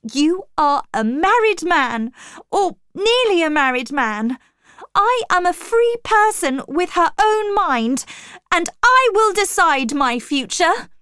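Storybook heroine played by a woman: young governess, high-pitched, swooping, earnest, modest yet firm. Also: sing-song, slow, a little mellow, dry recording, clean studio sound, full character voice. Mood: defiant. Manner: pleading, polite, impassioned. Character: earnest, emotional young governess, modest but strong-willed.

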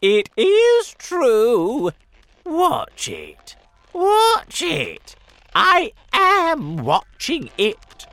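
A reader voicing a shrill, spiteful, bossy old aunt in a storybook reading, shrieking high and loud. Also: wildly swooping pitch, very slow, hardly any pauses, no room sound, heavily acted. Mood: shrill, excited.

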